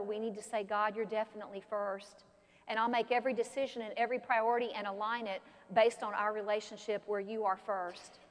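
An older woman pastor preaching an animated sermon from a pulpit in a large church sanctuary. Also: fairly high pitch. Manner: animated, expressive preaching with gestures. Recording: long church reverb.

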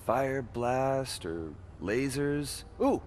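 A man's voice: medium pitch, expressive, very slow, darkish tone, a little hiss or hum. An actor voicing a cocky, sarcastic young American superpowered man in a video game. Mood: wisecracking. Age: young adult. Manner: sarcastic, flippant. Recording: music and street sounds behind.

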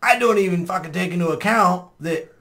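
A man hosting a podcast in a small studio, talking casually, swearing often and laughing loudly. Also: high-pitched, fairly quick. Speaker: podcast host. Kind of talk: casual chat. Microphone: studio mic on boom arm.

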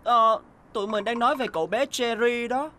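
A woman voicing a chatty, curious young talking vehicle in a dubbed children's cartoon, expressive. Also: fairly high pitch, moderate pace, a little hiss or hum. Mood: amazed, gossipy. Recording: loud music behind the voice.

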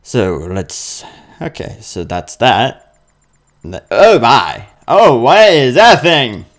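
A man in his twenties doing casual, excitable gameplay commentary full of exclamations and mild crude language. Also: mid-range voice, slow. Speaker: gaming video host.

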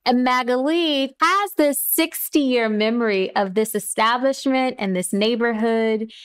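A woman speaking as a playwright guest in a reflective discussion, with deliberate, thoughtful phrasing and pauses. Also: on the high side, a bit bright, clear, resonant tone.

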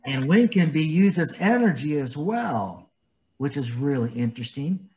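A man reading a picture book aloud to young children, gently explaining it in simple words and asking them questions. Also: a little high, lively intonation, moderate pace. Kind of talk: storybook read-aloud with explanations.

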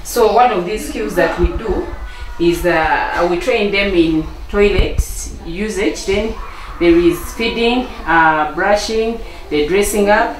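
A woman's voice: mid-range voice, takes it slowly. A Ugandan woman, a learning centre staff member, explaining her work. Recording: echoey noisy room, distant mic.

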